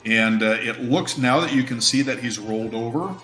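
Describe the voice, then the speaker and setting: A man running a tabletop game, improvising narration haltingly, thinking aloud and swearing casually. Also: medium pitch, fairly bright tone. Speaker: game master. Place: indoor room on a live stream. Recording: roomy echo with music underneath.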